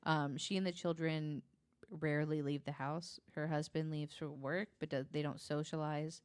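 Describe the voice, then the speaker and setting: A woman with a low-pitched voice tells a story as a podcast guest. Her delivery is conversational and a little hesitant, with pauses as she picks up the thread. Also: steady tone, smooth. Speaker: podcast guest telling a true-crime case.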